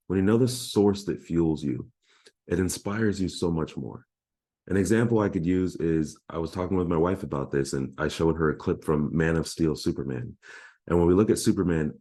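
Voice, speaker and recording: Deep, mellow-voiced man, earnest coach. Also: breathy edge. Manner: earnest, heartfelt, emphatic. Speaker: life coach. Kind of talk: motivational solo talk.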